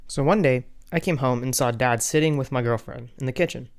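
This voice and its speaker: A man's voice: mid-range voice, a little fast, natural voice. A man voicing a betrayed young man who tells his family drama wryly, in first-person cartoon story narration. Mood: reminiscing.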